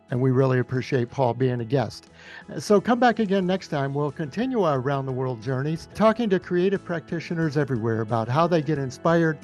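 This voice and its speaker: Slightly high-voiced male podcast host. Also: darkish tone. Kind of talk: conversational interview hosting.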